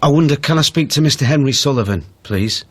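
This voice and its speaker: An actor playing a prickly, defensive and easily agitated son in a naturalistic radio drama, halting at times. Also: male voice, medium pitch, expressive, close to an everyday voice. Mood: nervous, halting.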